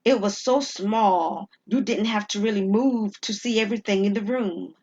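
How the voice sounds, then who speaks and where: A woman storyteller reading scripted dramatic narration with deliberate, suspenseful pauses. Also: mid-range voice, darkish tone, highs cut off.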